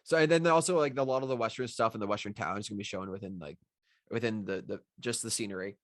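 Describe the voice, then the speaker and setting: A man's voice: lowish voice, quick pace, no echo, studio quality. A man casually explaining his writing in response to feedback. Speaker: writer answering feedback. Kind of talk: casual chat.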